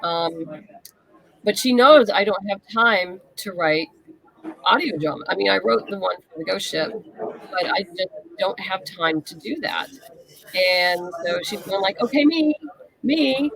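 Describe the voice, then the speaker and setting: A woman's voice: mid-range voice, expressive, measured pace, creaky, a crowd in the background, low-quality recording. A woman speaking as a guest in a casual interview, candid and explanatory. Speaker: interview guest, comic writer and novelist.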